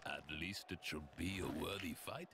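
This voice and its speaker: Deep-voiced noble warrior god. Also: male voice, moderate pace, vocal fry, very noisy. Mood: confident. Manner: formal, archaic heroic speech. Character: proud, noble Norse warrior-god hero. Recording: loud music under the voice.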